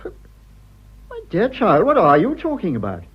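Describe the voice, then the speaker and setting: A husky, middle-aged English gentleman, prim and petulant, with an upper-class accent. His pitch swoops about as he splutters and condescends in a period radio play. The recording is a little dull. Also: huge pitch swings, takes it slowly, heavily acted. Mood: incredulous, patronising. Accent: British upper-class RP. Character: prim, petulant upper-class husband, politician with taste for decoration. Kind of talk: period radio drama acting.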